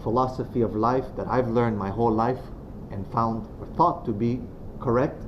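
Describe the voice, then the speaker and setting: A man from Romania giving a religious talk to students as a guest speaker. He is soft-spoken and unhurried, and he pauses often and uses many fillers. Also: medium pitch. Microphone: venue microphone. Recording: dull lo-fi sound with clear background noise.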